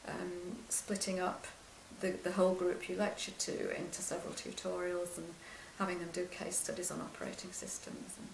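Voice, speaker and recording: Quiet-voiced older woman, gravelly and hesitant. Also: lowish voice, flat delivery, normal speed, few pauses, roomy sound, a little hiss or hum, low-quality recording. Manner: soft-spoken, hesitant, many ums and restarts. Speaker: computer science lecturer interviewed about her career. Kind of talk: oral history interview.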